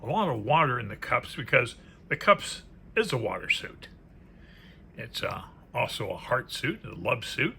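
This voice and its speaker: A man's voice: medium pitch, very animated, normal speed, vocal fry, bright, forceful tone. A man giving casual, unscripted commentary as he presents a card deck, musing aloud and trailing off into murmured asides. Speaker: presenter reviewing a tarot deck. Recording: faint background noise.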